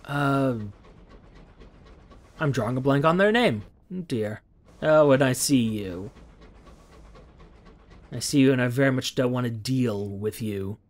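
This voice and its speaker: A man's voice: mid-range voice, a little slow, pauses often. Man musing aloud over a game. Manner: musing, thinks aloud with frequent hums. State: losing his voice. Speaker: game streamer. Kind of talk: let's play commentary with reading of game text.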